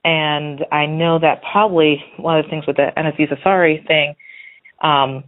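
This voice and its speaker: A woman's voice: sits low, little pitch movement, normal speed. An American woman leaving a casual voicemail as a listener calling in, earnest and a little hesitant as she thinks aloud. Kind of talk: casual spoken voicemail commentary. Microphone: phone. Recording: muffled phone-line voicemail.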